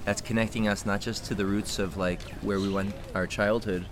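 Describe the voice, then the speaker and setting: A man's voice: lowish voice, little pitch movement, normal speed. A man from the American Midwest, speaking as a guest in a reflective, spiritual, rambling way during a casual outdoor talk. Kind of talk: casual conversation. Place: outdoors in a city. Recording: noisy outdoor street recording.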